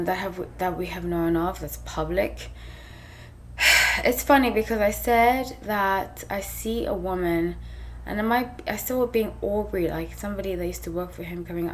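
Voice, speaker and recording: A woman's voice: on the low side, a little hiss or hum. A woman in her thirties from New York, raised in South Africa and England, hosting a tarot channel and chatting casually to camera, a bit tired and hot, with lots of fillers and restarts. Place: small room indoors.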